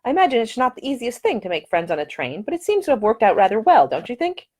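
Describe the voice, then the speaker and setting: Chatty young English gentlewoman voiced by a female narrator: raised pitch, very animated, cheerful, solicitous, audiobook romance. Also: fast talker. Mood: bright, chatty. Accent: English, upper-class. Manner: eager, solicitous, talkative.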